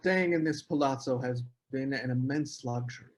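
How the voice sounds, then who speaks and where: A man reading a charming, evasive young author at a table read, courteous and smooth. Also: mid-range voice, moderate pace, natural voice.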